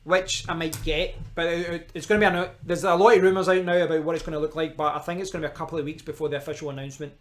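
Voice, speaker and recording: A man's voice: on the high side, steady tone, very fast, hardly any pauses. A young man with a strong Glaswegian Scottish accent, rambling casually to viewers on a live stream from his kitchen, with frequent restarts and asides. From Scotland. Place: kitchen at home.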